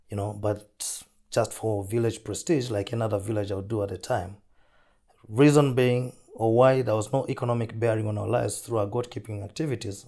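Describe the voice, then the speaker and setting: A man with a Zambian accent answers interview questions as an entrepreneur, his voice husky as he recovers from flu. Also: on the low side, dark tone.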